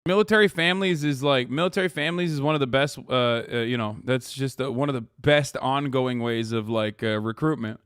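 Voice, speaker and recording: Profane ranting man, smooth close voice. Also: mid-range voice, brisk, hardly any pauses, fairly bright tone, dry recording. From Turkey. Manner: profane, emphatic, rambling with restarts. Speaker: streamer. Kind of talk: reacting to clips, casual rant.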